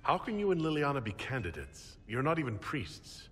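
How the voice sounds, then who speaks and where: A man's voice: mid-range voice, big pitch swings, normal speed, slight background noise, lightly acted. A man playing a confident, probing leader in a fantasy video game, with a direct, questioning manner. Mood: incredulous. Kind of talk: dramatic video game dialogue.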